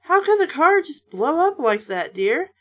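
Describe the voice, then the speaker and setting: A worried mother from a storybook, read by a woman. She sounds high-pitched, fretful and protective, with a questioning manner. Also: big pitch swings, a little slow, a bit bright, lo-fi sound, exaggerated voice.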